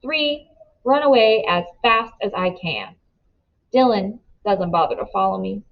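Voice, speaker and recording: A woman narrates a children's book in an expressive read-aloud style. Her pitch is average for a woman. The recording is slightly dull and lo-fi. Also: measured pace. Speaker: storybook reader.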